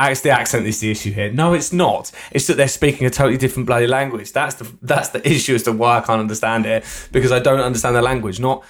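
A young man from the UK, co-hosting a podcast in casual, animated chat. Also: mid-range voice. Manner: chatty, animated, bursts of laughter. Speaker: podcast co-host.

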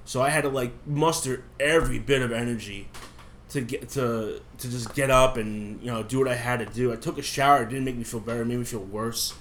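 A man's voice: mid-range voice, a little fast, a bit bright, slight background noise. A man with a cough, sick, co-hosting a podcast and rambling casually with frequent swearing. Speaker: podcast co-host. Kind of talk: casual chat.

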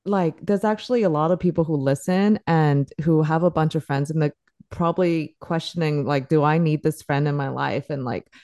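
A woman hosts a casual podcast in a low, mellow voice. Her phrasing is informal and conversational, and the sound is very dry, with little room around it. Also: dry recording. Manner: informal, peppers talk with "like". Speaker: podcast host.

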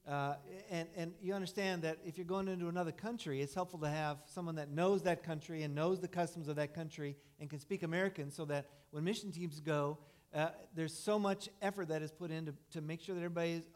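A man's voice: on the high side, fairly even intonation, brisk. A man hosting an informal church interview, speaking affirmingly to a congregation and often repeating or restarting his phrases. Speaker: church host interviewing guests.

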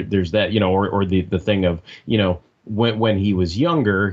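A man co-hosting a casual podcast chat, rambling with many restarts and the odd swear. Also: low voice. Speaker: podcast co-host. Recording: dull lo-fi audio.

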